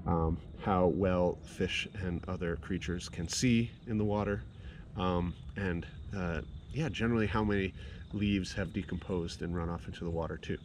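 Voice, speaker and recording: A fairly low-voiced man in his thirties talks to an action camera held at arm's length while sitting in a kayak on a lake. His relaxed, explanatory delivery is full of fillers as he thinks aloud. Clear outdoor noise runs under the camera audio. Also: normal speed. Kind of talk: casual outdoor demonstration.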